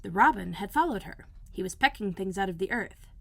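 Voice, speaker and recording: A woman librarian reading a children's book aloud in a gentle, clear storytelling manner. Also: medium pitch, lively intonation, fairly quick, no echo. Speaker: school librarian.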